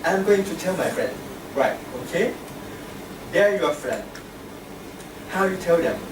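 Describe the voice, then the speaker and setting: Slow-spoken man giving a grammar tip. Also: sits high, expressive. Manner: plain, repetitive teaching with worked examples. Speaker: grammarian at a speaking club. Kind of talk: short grammar lesson. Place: club meeting room. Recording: echoey room, distant mic, air conditioning hum.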